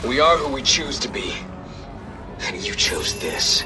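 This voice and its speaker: A man's voice: mid-range voice, big pitch swings, unhurried, over music. A man playing a bitter, brooding soldier who feels betrayed, with a grim, accusing delivery in a video game. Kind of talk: video game drama acting.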